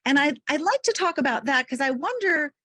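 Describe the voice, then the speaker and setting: A woman's voice: sits high, lively intonation, brisk, a bit bright, dry recording, clean studio sound. A woman co-hosting a podcast interview, building her questions conversationally with "right?" checks. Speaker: podcast co-host.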